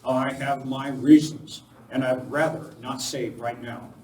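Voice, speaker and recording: A man's voice: medium pitch, steady tone, unhurried, natural voice. A man playing a resolute masked western hero in an amateur live radio play, earnest and commanding. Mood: serious, inquiring. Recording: roomy, distant live recording, faint noise.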